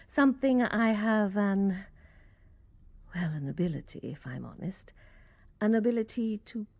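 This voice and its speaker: Radio play casting: self-satisfied, grudge-holding female office worker, acted by a woman, very animated delivery, soft dark tone. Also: mid-range voice, no room sound. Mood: smug, boastful. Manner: prim, haughty, self-satisfied. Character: self-righteous, grudge-bearing female office worker who boasts of picking winners.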